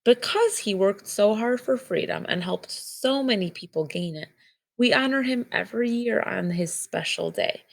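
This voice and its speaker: Woman with creaky voice reading to children. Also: mid-range voice, animated, moderate pace. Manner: simple, child-directed explaining with short phrases. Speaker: teacher reading a picture book aloud to children. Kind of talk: read-aloud storytelling with simple explanatory asides.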